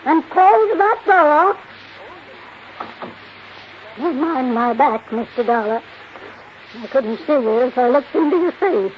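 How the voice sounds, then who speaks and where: A woman's voice: high voice, animated, slow, pauses often. An actress playing a blind, wealthy old woman in a radio drama, blunt, demanding and cantankerous. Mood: imperious. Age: older. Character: blind, crotchety, bossy old wealthy matriarch. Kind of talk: 1950s radio drama acting. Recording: noisy, muffled old 1950s radio recording.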